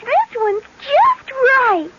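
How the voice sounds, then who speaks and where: A woman's voice: very high voice, huge pitch swings, extremely slow, a bit bright, exaggerated voice. A woman playing a perky, boastful fairy-tale girl taste-tester in an old radio commercial. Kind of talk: 1970s radio commercial character acting. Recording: dull old AM radio recording.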